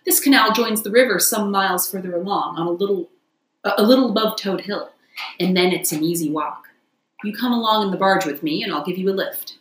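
A woman's voice: medium pitch, a little fast, echoey room, lightly acted. A woman voicing a big, hearty working barge woman from a storybook, polite, plain-spoken and chatty. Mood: friendly, helpful.

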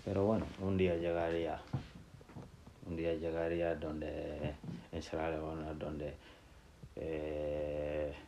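A young man from Gambia and Senegal telling his life story on a podcast, in a halting, casual way with many fillers and restarts. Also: a bass voice, little pitch movement, mellow. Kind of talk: casual personal monologue. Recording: dull lo-fi sound with faint noise.

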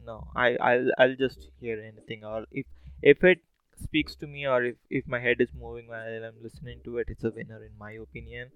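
A man's voice: medium pitch, little pitch movement, a little fast, a bit bright, clean tone, rough recording. A man hosting a podcast solo, talking casually in a rambling, hesitant, informal way. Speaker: podcast host.

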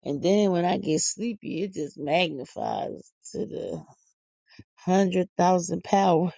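A woman's voice: on the low side, expressive, slow pace, vocal fry, warm tone, no echo. A woman, hosting a podcast in a casual rambling monologue, tired, silly and folksy with exclamations. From Philadelphia. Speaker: podcast host. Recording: dull lo-fi dry recording.

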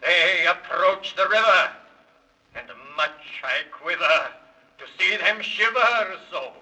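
A man's voice: high-pitched, animated, slow pace, sharp tone, recorded from a distance, heavily acted, poor audio. A man voicing an enchanted magic mirror, declaiming solemn rhymes in 1930s children's radio style on an old recording. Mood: fearful, foreboding.

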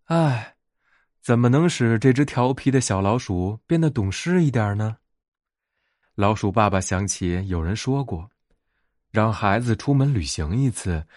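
A man narrating a bedtime fairy tale as a storyteller, in an expressive scripted read. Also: mid-range voice, dry recording, studio quality.

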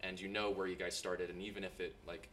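Deep-voiced American man interviewing. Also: little pitch movement, fast talker, bright, forceful tone, a little hiss or hum. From United States. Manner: long rambling questions. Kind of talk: podcast interview.

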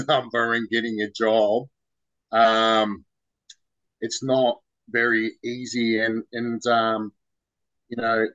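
A man's voice: mid-range voice, a bit bright, low-quality recording. A man with an Australian accent, chatting loosely as an interview guest, full of "man" and "bro". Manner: rambling, loose and informal, lots of "man" and "bro". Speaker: podcast guest. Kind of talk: casual interview talk.

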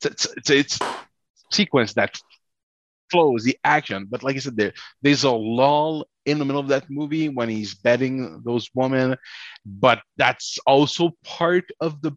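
Halting man, dull lo-fi audio. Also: mid-range voice, dry recording. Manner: halting, stammering, rambling delivery. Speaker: podcast co-host. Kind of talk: casual film discussion.

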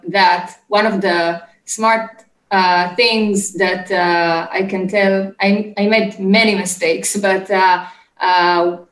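Middle-aged Israeli woman, roomy sound. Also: mid-range voice. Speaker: interview guest, startup executive. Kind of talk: conversational interview answers. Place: home office, remote call.

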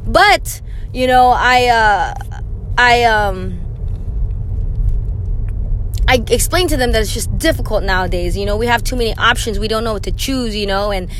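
Young female podcast host, rambling casual chat, high voice, soft car noise under her. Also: moderate pace, pauses often, low-quality recording. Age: young adult. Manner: chatty and rambling, laughing, many fillers. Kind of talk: casual solo podcast monologue.

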